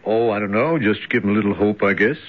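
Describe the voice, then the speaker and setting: A mild, bored jailer acted by a man talks in a lowish, casual, noncommittal voice with a trace of pity. It is 1970s radio drama, slightly muffled in sound. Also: quick pace, close to an everyday voice. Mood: mild, resigned. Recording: dull 1970s radio recording.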